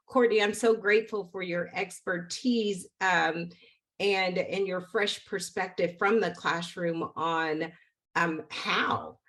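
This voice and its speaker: An American woman in middle age hosts an online discussion in a lowish voice. She phrases things deliberately, pausing and repeating words as she hands the conversation along. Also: a bit bright. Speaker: panel moderator. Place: home room on video call.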